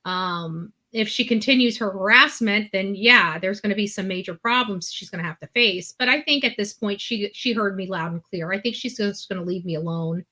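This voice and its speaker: A woman's voice: medium pitch, moderate pace, few pauses, sharp tone. A woman in casual, opinionated discussion as a guest on a stream, speaking bluntly and emphatically, repeating herself for force. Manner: blunt, emphatic, repetitive, swearing. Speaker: guest panelist on a true-crime stream.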